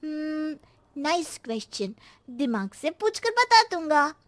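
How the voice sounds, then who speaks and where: A lazy little schoolboy, drawls in a high, slow voice. He is cheeky and talks back like a cartoon brat, recorded very dry and close. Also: sing-song, fairly bright tone, no echo, heavily acted. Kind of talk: animated cartoon story voice acting.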